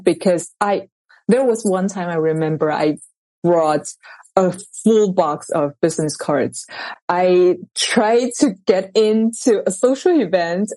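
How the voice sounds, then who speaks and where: A soft-spoken woman from Taiwan talks unhurriedly in a warm, mellow voice that sits a touch low. She hesitates often, filling gaps with small ums and uhs. The sound is close and dry. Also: no echo, low-quality recording. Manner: soft-spoken, halting with many fillers. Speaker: guest author. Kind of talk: casual interview chat.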